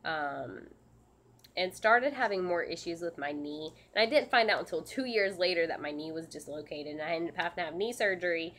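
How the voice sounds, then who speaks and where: A woman with a slightly low voice, congested from a sinus infection and a bit scratchy in the throat. She answers viewer questions in a relaxed live stream. She keeps restarting her sentences in a soft, earnest way. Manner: halting, frequent restarts and ums, soft and earnest. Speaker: service dog handler and channel host.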